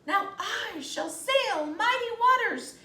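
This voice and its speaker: A woman voicing an eager little male tree in a storybook, proudly and excitedly declaring big dreams. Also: very high-pitched, slow, echoey room, pitched above the performer's own voice, heavily acted.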